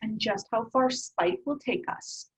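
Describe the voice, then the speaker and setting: A woman's voice: a little high, expressive, a little slow, poor audio. A woman playing a determined young heist leader in a screenplay table read, casual and earnest. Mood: earnest, resolute.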